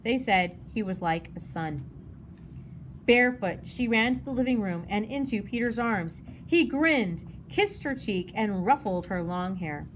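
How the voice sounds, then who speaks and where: A woman's voice: mid-range voice, animated, unhurried, low-quality recording. A woman narrating a children's novel as a read-aloud. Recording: noticeable background noise.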